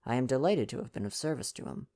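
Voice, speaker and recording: A man's voice: mid-range voice, expressive, fast talker, gravelly, dark tone. Older Belgian detective played by a man, storybook character: vain, courteous, self-important, formal foreign turns of phrase. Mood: wry. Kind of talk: storybook dialogue reading.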